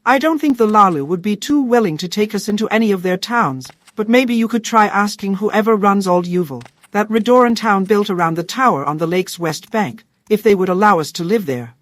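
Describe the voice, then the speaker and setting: A woman's voice: mid-range voice, fairly quick, few pauses, no room sound, close to an everyday voice. Woman as earnest, weary squatter leader, dry recording. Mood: apologetic, pleading. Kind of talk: scripted video game character dialogue.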